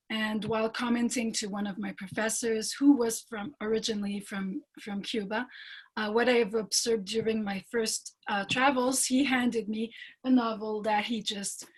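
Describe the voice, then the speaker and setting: Husky-voiced woman giving a research talk. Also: fairly high pitch, low-quality recording. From Montreal. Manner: measured, with frequent fillers and restarts. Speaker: doctoral fellow presenting research.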